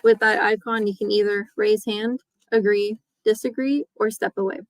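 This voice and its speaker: Woman, webinar facilitator, scripted read, steady and procedural, dry sound. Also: mid-range voice, normal speed, no room sound, poor audio. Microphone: webinar microphone.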